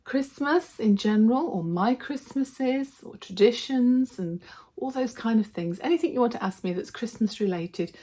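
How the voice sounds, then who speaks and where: A woman vlogger with a slightly hoarse voice from a cold, chatting casually and cheerfully to her viewers. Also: medium pitch, dark tone. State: slightly hoarse, losing her voice from a throat infection. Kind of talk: casual vlog chat to viewers.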